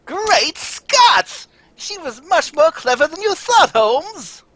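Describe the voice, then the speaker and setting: A woman playing a hearty, proper gentleman sidekick in an amateur radio drama, exclamatory and husky. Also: very high voice, sing-song, slow pace, no room sound, heavily acted. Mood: astonished. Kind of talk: amateur old-time radio drama reading.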